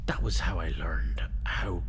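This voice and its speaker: An actor playing a reanimated male river-folk being, thousands of years old, who speaks politely and flatteringly. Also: low voice, unhurried, a little hiss or hum. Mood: deferential. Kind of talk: fiction podcast acting.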